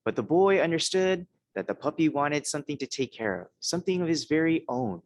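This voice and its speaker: A hoarse man, a minister, giving a conversational talk and then reading a children's story, often checking in with his listeners. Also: fairly high pitch, animated, fairly quick, slight background noise. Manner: conversational, keeps checking in with "okay?" and "right?".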